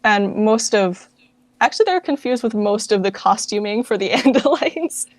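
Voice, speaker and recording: A woman's voice: a little high, animated, normal speed, no room sound. A young woman in her late teens chatting casually and informally as a guest in an interview. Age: late teens, young adult. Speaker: interview guest, fan project founder.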